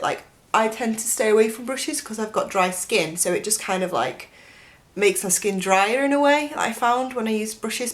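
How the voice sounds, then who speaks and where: Young woman, croaky from a chest infection, chatty and gushing, makeup tutorial vlogger, close to the camera, bedroom. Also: mid-range voice. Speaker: beauty vlogger. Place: bedroom with a dressing table, indoors.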